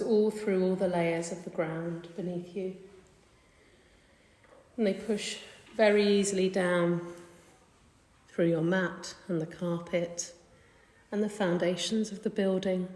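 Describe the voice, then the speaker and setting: A woman leading a guided meditation in a gentle, soothing, unhurried manner. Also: on the low side. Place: indoor carpeted room. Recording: echoey room with long reverb.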